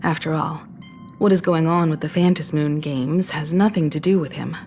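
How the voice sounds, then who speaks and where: A poised, mature mentor figure in a game, with a lowish, even voice. She speaks calmly and with quiet authority, soft music behind her. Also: moderate pace. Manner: calm, composed, gently authoritative.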